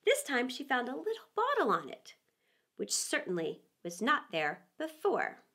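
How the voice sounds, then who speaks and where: A woman reads a storybook to children in a high, very melodic voice. Her delivery is theatrical, leaning on key words and pausing for drama, and her pitch moves widely from phrase to phrase. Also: wildly swooping pitch, sharp tone. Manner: theatrical, with dramatic pauses and emphasis. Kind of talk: expressive scripted storybook read-aloud for children.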